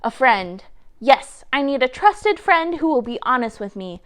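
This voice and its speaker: Woman as a nervous boy bear, storybook character, high voice, swooping intonation, rambling, awkward, flustered. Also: very animated, measured pace, a bit bright, clean tone, heavily acted. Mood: nervously chatty. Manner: rambling, flustered small talk full of questions. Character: chatty, anxious, socially awkward male bear in underwear.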